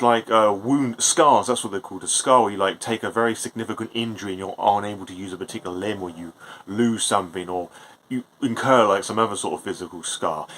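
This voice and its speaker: A man's voice: on the low side, a bit bright. A British man giving an impromptu personal vlog talk, candid and reflective, with some hesitation and casual swearing. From Britain. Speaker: vlogger.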